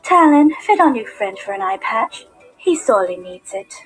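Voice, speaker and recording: A woman's voice: a little high, very animated, normal speed, faint music behind, rough recording. A woman playing a cruel pony criminal mastermind professor in an audio drama, commanding and mock-sympathetic. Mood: coolly commanding. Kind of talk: audio drama villain acting.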